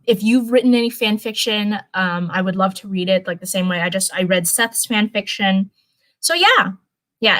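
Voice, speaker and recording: A woman's voice: medium pitch, fairly bright tone. Female streamer, mid-range voice, informal read-aloud and chatty delivery, tends to ramble and repeat. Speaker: streamer reading viewer-submitted fan fiction. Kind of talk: casual livestream chat and read-aloud storytelling.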